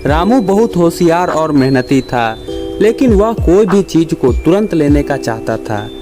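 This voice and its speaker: Male narrator for children's moral stories, slightly high pitch, unhurried measured read over a prominent music bed. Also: sing-song. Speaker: storyteller.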